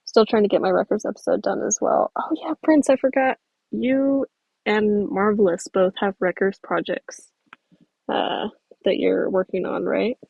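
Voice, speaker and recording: A woman's voice: mid-range voice, fairly even intonation, measured pace, vocal fry, darkish tone, slightly rough, no room sound, muffled recording. A young woman from the American South, chatting casually on a livestream while reading out viewer comments. She rambles in a friendly way, uses many fillers and laughs easily. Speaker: stop-motion creator streaming.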